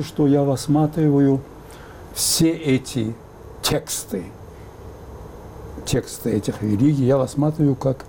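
An older man from Baku, raised speaking both Azerbaijani and Russian, thoughtfully recalling the past in an interview with many pauses and fillers. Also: a little high, dark tone, slight background noise. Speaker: interview guest. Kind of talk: reflective interview talk.

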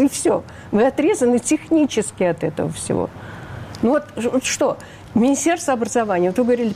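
Older female guest, mellow timbre, indignant and emphatic. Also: on the high side, slight background noise. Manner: emphatic, indignant, rhetorical questions. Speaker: interview guest, refugee rights advocate. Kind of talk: radio interview.

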